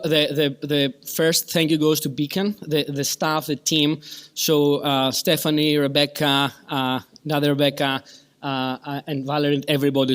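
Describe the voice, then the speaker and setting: A man's voice: a little high, fairly bright tone. A man with a Greek accent chairing a conference session, giving a long, effusive introduction full of fillers and restarts. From Greece. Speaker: session chair at a scientific meeting.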